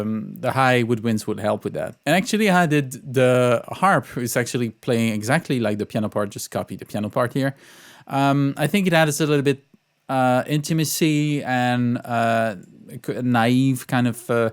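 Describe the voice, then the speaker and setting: Male composer-instructor, mid-pitched, smooth clear tone, informal teaching delivery with hesitant, think-as-you-go phrasing. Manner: halting, thinking aloud. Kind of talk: informal tutorial explanation of own music.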